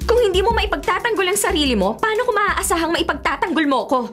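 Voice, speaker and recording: An actress playing a feisty, expressive young Filipino college woman, a mayor's bratty daughter, in a radio drama. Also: very high voice, fast, full character voice. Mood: scolding, exasperated. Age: young adult. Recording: loud music under the voice.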